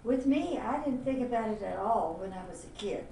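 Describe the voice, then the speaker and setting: An older woman from Florida looks back on her school years in a plain, reflective way, her voice mellow and relaxed. The recording is echoey, and she sits some way from the mic with a faint hiss behind her. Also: fairly high pitch, normal speed, recorded from a distance. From Miami, Florida. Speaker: interviewee. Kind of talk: oral history interview.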